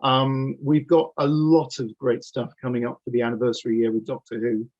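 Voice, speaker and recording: A man's voice: mid-range voice, flat delivery, moderate pace, a little mellow. A man in his fifties talking casually and enthusiastically as an interview guest, telling anecdotes over a video call from a home office. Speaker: audio drama producer, interview guest. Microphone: computer mic on video call. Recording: dull, lo-fi video call audio.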